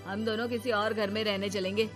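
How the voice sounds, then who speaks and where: A woman's voice: mid-range voice, little pitch movement, fairly quick, faint music behind, lightly acted. A woman voicing a selfish, impatient young man, the adult son in a family story, curt and demanding. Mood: defiant.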